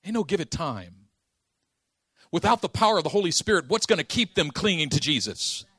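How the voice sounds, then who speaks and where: Bright-voiced animated male preacher. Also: fairly high pitch, a little fast, husky, dry recording, studio quality. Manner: animated storytelling, acting out dialogue; casual slang. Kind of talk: sermon.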